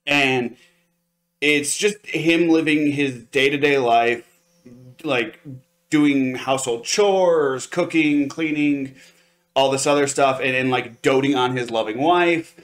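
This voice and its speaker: A man's voice: mid-range voice, slow. A man co-hosting a review show, chatting casually and enthusiastically in a hedging, conversational way. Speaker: podcast co-host reviewing anime.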